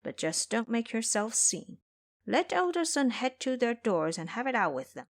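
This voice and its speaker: Woman acting a bold, excitable monkey warrior, swooping pitch. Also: normal speed. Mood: commanding. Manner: commanding, excitable, exclamatory. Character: Sun Xingzhe, bold combative male monkey disciple.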